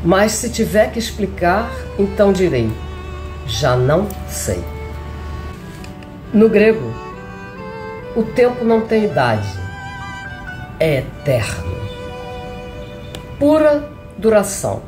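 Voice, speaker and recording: A woman reading a poetic text aloud in a solemn, declamatory way with measured phrasing. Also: medium pitch, sing-song. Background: music.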